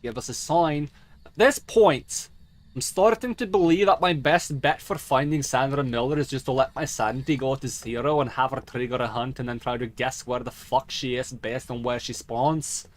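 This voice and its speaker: A man's voice: medium pitch, moderate pace. A man giving rambling, sweary, theatrical video game commentary in a put-on mock dwarf accent. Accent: put-on mock accent he calls a dwarf accent. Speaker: game streamer.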